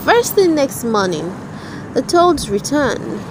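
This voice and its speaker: A woman's voice: sits high, very animated, takes it slowly, noisy background. A woman narrating a children's storybook aloud for very young listeners.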